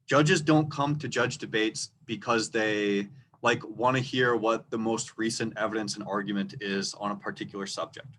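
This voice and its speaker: Minnesota guy coaching debate kids, talks loud and slow-ish, every word separate, little bit of hiss behind. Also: lowish voice, fairly even intonation. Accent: rural Minnesota. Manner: speaks loudly and deliberately, word by word. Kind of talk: instructional video talk.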